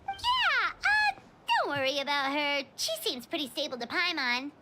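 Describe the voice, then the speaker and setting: A woman voicing a small chirpy fairy companion in a video game, squeaky and childlike, exclaiming and speaking of herself in the third person. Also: sing-song, measured pace, heavy background noise. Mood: reassuring. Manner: refers to self by name, exclaiming. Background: game music.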